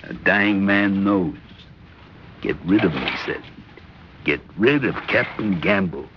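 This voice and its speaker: An actor in an old radio drama playing a sardonic, mutinous merchant sailor with a wry, needling manner. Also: male voice, sits low, lively intonation, measured pace. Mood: bitter, conspiratorial. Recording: noisy muffled old radio recording.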